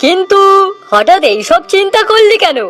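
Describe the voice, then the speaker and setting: A woman's voice: extremely high pitch, very animated, moderate pace, faint music behind. A woman voicing a genial, slightly bossy household master in a children's cartoon, speaking in a familiar, encouraging way. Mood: pleased, curious.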